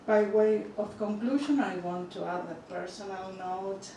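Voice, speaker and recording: A woman presenting a book with formal, measured prepared remarks. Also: medium pitch, warm tone. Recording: echoey room from a distant mic, noisy.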